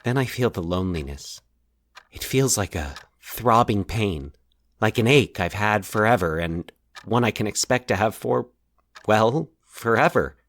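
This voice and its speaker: A male narrator plays a troubled man confiding in his psychiatrist in an audio drama. He speaks in an anxious, halting way with dramatic pauses, his lowish pitch rising and falling widely. The sound is very dry. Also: animated, fairly bright tone, dry recording, exaggerated voice. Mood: haunted, halting. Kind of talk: dramatic audio fiction monologue.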